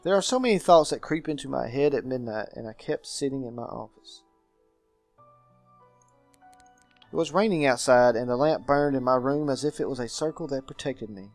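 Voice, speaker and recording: A man voicing a brooding gentleman guardian in a game, telling his story in a formal, melancholy manner. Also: medium pitch, brisk, few pauses, faint music behind, close to an everyday voice. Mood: haunted.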